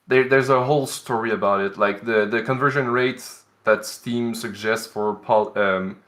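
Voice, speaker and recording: A man's voice: on the low side, steady tone, moderate pace, fairly bright tone. A man from Canada, an indie game developer, answering interview questions in an earnest, explanatory way with lots of "like" fillers.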